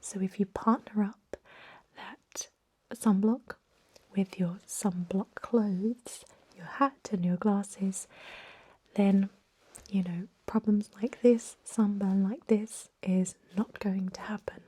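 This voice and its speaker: Woman as thousand-year-old vampire medic, ASMR role-play, breathy whisper, dark soft tone, courteous, reassuring, gently hesitant. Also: mid-range voice, fairly even intonation. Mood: soothing, instructive. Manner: gentle, unhurried, hesitant explaining. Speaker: emergency room clinician in a role-play.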